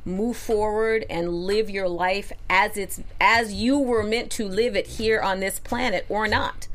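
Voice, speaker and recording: A woman's voice: mid-range voice, steady tone, unhurried, a bit bright. A middle-aged woman from Connecticut, an activist answering interview questions in an earnest, reflective, emphatic way. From Connecticut, USA. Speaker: guest, activist.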